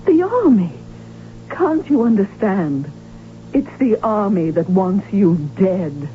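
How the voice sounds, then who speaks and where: An actress playing an anxious, insistent wife of an archduke, pleading urgently in a radio drama. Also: female voice, medium pitch, big pitch swings, slow pace. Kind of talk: 1970s radio drama acting. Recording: muffled old radio recording, faint hum.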